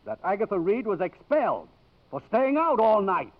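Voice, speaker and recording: High-pitched blustering chairman, tinny radio. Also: wildly swooping pitch, moderate pace. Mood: agitated. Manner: blustering, exasperated. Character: agitated, blustering male college board chairman. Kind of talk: 1950s radio comedy acting. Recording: tinny old radio broadcast recording.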